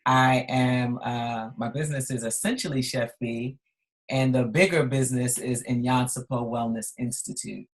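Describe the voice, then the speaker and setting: A woman's voice: a bass voice, steady tone, moderate pace. Upbeat woman in her 40s, guyana-born brooklyn girl, chatty podcast guest. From Guyana, raised in Brooklyn. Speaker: guest chef and health coach. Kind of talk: casual podcast chat.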